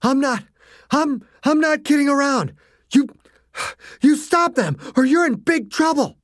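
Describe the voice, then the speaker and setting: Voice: high-pitched, expressive, a little slow, no echo, clean studio sound, pitched above the performer's own voice. A narrator voicing a terrified father in agony, pleading and gasping for breath. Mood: panicked.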